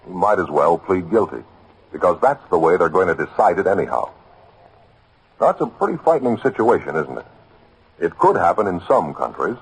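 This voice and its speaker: An American man makes an earnest public service announcement in vintage 1950s radio style. His voice is fairly low and a bit rough, with very lively intonation. He is emphatic and speaks straight to the listener. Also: low-quality recording. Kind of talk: 1950s radio scripted announcement, direct address. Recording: old radio broadcast, slightly husky sound.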